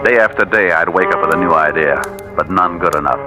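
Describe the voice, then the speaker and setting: A man's voice: low-pitched, fairly quick, close to an everyday voice. An actor playing a bitter, vengeful boxer who narrates his scheme in a slangy, brooding, vintage radio drama style. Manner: hard-boiled, slangy, brooding delivery. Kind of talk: 1940s radio drama acting, first-person narration. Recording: vintage radio recording, loud music behind.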